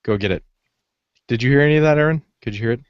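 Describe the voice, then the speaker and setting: A man's voice: medium pitch, very fast, clean tone, no echo. A man co-hosts a podcast in a casual, conversational way. The sound is dry and close, with a dull lo-fi edge like an online call. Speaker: podcast co-host.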